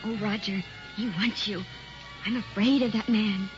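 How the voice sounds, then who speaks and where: Scared newlywed wife, voiced by an actress, pleading radio-drama delivery with music behind. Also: female voice, medium pitch, steady tone, measured pace, lightly acted. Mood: fearful. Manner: pleading, anxious. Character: frightened young newlywed wife. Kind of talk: old-time radio drama acting. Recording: dull old radio recording with music.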